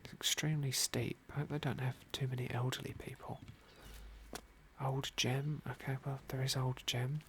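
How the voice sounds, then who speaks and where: A British man narrating an ASMR game playthrough in a gentle, polite, understated manner. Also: medium pitch, level tone, moderate pace, a little hiss or hum. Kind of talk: whispered ASMR roleplay and commentary.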